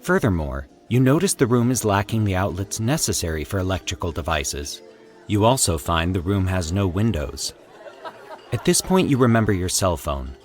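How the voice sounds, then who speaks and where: Low-voiced man narrating with dramatic swings. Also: very animated, faint music behind. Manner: formal, deliberate, theatrical storytelling delivery. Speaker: host and narrator of a fiction podcast. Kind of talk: scripted horror story narration.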